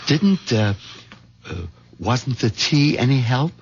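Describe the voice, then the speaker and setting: An actor playing a meek, middle-aged American husband who hesitates and stammers, in the style of a seventies radio mystery drama. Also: male voice, medium pitch, animated, takes it slowly, slight background noise. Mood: flustered, alarmed. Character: meek, henpecked middle-aged husband nervously plotting murder. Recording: dull vintage radio broadcast, music behind.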